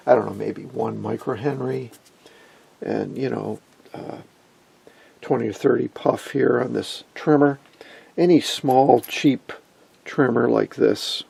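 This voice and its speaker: Gravelly, husky man, unhurried. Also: medium pitch, mellow. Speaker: electronics hobbyist presenter. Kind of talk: informal hands-on tutorial narration. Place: indoor electronics workbench.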